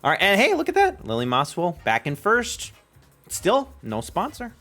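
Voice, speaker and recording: A young man hosting a game stream, giving lively, excitable play-by-play and laughing often with his co-host. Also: sits high, normal speed, faint music behind. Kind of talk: casual game commentary.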